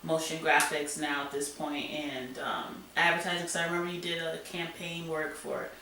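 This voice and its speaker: Woman, chatty interview host, lowish bright voice, roomy sound, far from the mic. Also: level tone. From United States. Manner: chatty, upbeat, quick to laugh. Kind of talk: casual interview. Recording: echoey room, distant mic, faint noise.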